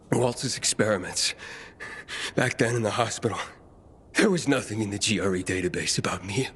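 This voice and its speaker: A man's voice: on the low side, animated, moderate pace, long pauses. An actor playing a tense, driven young male survivor hero in a video game, urgent and strained. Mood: grim. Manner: urgent and strained, shouting in danger. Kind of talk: video game cutscene acting. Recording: game audio with clear ambience.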